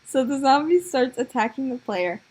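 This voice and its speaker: A woman improvising comic stories for a puppet show in a giggly, halting way. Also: high voice, expressive, very noisy. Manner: giggly, halting, making it up as she goes.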